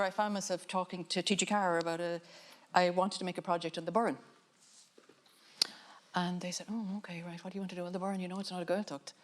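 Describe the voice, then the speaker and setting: An Irish woman, a filmmaker on a panel, giving a reflective talk in a musing, halting way with frequent restarts. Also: on the low side, slight background noise. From Ireland.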